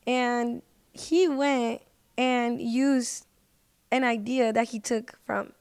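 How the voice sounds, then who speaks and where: A woman's voice: a little high, fairly even intonation, extremely slow, darkish tone, clean tone, dry recording, studio quality. A woman chatting casually as a podcast guest, in an informal, slangy way. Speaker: podcast guest, singer and social media creator.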